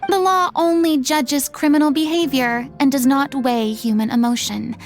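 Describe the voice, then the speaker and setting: An actress playing a poised young woman in a game cutscene, reflective and measured, slipping in a French phrase. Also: high-pitched, steady tone. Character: poised young woman musing on law and emotion.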